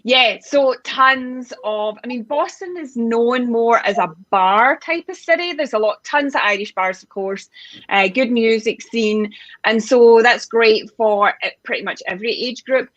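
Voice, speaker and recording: A Scottish woman working as a tour guide, chatting over a live video call in a relaxed way that often trails off mid-sentence. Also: fairly high pitch, unhurried, no echo, highs cut off. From Scotland.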